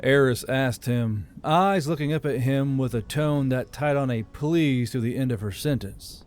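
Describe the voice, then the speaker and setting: A man's voice: medium pitch, a little slow, a little mellow. A man narrating a fantasy story as an audiobook, as the host of his own channel. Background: background music.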